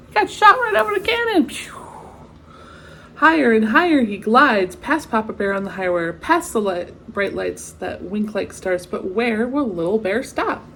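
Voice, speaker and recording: A woman's voice: on the high side, animated, moderate pace, a bit bright, slight background noise. A woman reading a children's picture book aloud expressively, with chatty asides and exclamations of wonder. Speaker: read-aloud storyteller.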